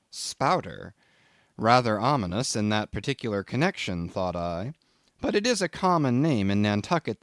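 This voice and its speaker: A man reading a literary audiobook as scripted narration, in an expressive, measured storytelling manner. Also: mid-range voice, no room sound, studio quality.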